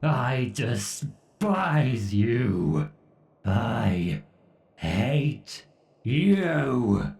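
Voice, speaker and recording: A man performs an obsessed, hateful machine god for a horror audio roleplay. The voice is dark and crackles with fry, drawing out each condescending phrase with theatrical menace. It rings with echo, as if set back from the listener. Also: mid-range voice, big pitch swings, extremely slow, distant mic, exaggerated voice. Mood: seething hatred. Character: obsessive, sadistic male AI god villain, gloating and hateful. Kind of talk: horror ASMR roleplay monologue.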